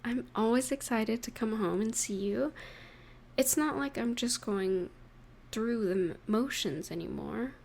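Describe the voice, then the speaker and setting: A high, slow-paced voice for a young, affectionate girlfriend acted by a woman in an intimate audio roleplay. She talks softly to her partner, playful and tender, leaving gentle pauses. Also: gravelly. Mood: tender, sincere. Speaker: voice actor in romantic audio roleplay. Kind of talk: intimate scripted roleplay addressed to the listener.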